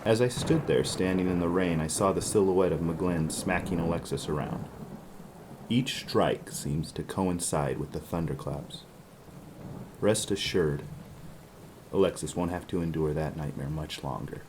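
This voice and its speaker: A man's voice: sits low, a little slow, background noise. A man playing a wry, brooding 1920s private detective, narrating in a scripted noir radio drama style. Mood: grim, resolute.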